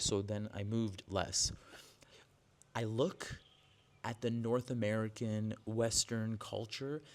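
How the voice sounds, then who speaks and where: Chatty man in his forties, low voice. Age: 40s. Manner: chatty, candid, with frequent likes and restarts. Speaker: yoga teacher. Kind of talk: casual vlog monologue to camera. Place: outdoors with birds nearby.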